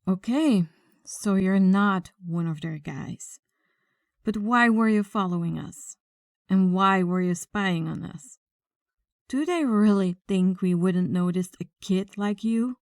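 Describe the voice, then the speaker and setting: Woman playing a slow, menacing female mafia boss. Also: mid-range voice, no echo, studio quality. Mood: probing, smug. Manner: deliberate, condescending, quietly menacing. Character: commanding, mocking female mafia boss interrogating a captive. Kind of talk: ASMR roleplay audio drama.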